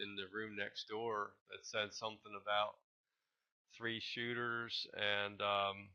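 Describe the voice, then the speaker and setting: A man with a fairly low voice that has a bright, forceful edge. He speaks off the cuff as an amateur commentator, halting and wandering as he speculates. The sound is a dull, lo-fi home recording. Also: creaky. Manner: hesitant, rambling, halting delivery. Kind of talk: unscripted speculative commentary over a map.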